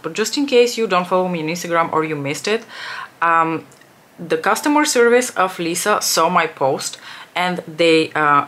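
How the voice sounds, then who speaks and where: A woman's voice: deep voice, a bit bright, slight background noise. A young woman chatting casually through a makeup tutorial, rambling and correcting herself as she works. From Bulgaria. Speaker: beauty vlogger.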